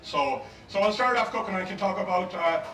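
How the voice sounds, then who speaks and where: A middle-aged Irish man, a native Gaelic speaker, demonstrating a dish live as a chef and pub owner, talking in a relaxed, rambling way with many fillers. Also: sits high, brisk, soft background music. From Ireland. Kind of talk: live cooking demo at a festival. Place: outdoor festival stage. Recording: echoey outdoor stage mic from far off, crowd noise.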